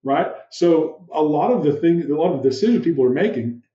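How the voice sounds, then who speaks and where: A man's voice: fairly high pitch, a little fast. Commanding man with a muffled, roomy sound. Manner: animated, uses vivid room analogies and rhetorical questions; describes his own voice as big and commanding. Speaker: podcast guest, business founder. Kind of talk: explanatory interview talk.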